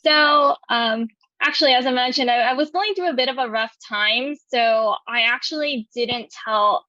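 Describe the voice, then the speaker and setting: A young woman recounting her story on a muffled video call, hesitant, with many pauses and restarts. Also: on the high side, pushed and bright, dry recording. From California, USA. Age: 20s. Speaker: veteran interviewee. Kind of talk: oral history interview.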